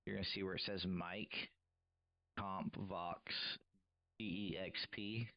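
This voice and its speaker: Deep-voiced, monotone man. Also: slow, no echo, lo-fi sound. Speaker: radio operator helping a friend, live stream host. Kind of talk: casual technical troubleshooting talk.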